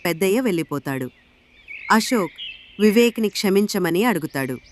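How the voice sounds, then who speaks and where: Female narrator for scripted folk fable; animated intonation, faint noise in the background. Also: medium pitch, moderate pace. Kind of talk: scripted moral story narration.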